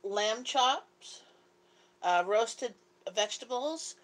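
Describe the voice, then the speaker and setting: A woman's voice: on the high side, animated, drawn-out words. A middle-aged Canadian woman chatting casually with viewers on a live stream, talkative and giggly. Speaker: live stream host, mother. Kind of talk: casual live chat with viewers while cooking.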